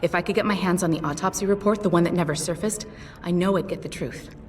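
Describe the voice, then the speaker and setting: A woman's voice: fairly low pitch, fast talker, a little hiss or hum, close to an everyday voice. An actress playing a tough, guarded female pilot who swears often in a video game cutscene. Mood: upset. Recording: distant voice with long reverb over music.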